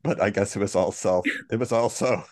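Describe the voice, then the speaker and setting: A man's voice: fairly low pitch, darkish tone, no room sound. A man co-hosting a book podcast who speaks in a dry, teasing way. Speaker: podcast co-host. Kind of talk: casual book discussion.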